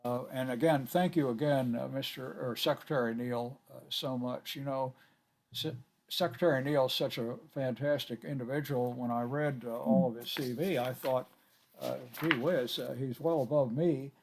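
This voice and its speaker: An older man chairing a board meeting on a video call, courteous and halting with many filler sounds. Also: medium pitch, steady tone, a little mellow, slight background noise, low-quality recording.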